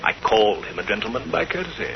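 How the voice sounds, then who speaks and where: An actor playing a haughty, wounded aristocrat with an English accent, speaking in a stiff, halting, pompous way in a 1930s radio drama. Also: male voice, fairly low pitch, very animated, gravelly. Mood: defensive. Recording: noisy, muffled 1930s radio recording.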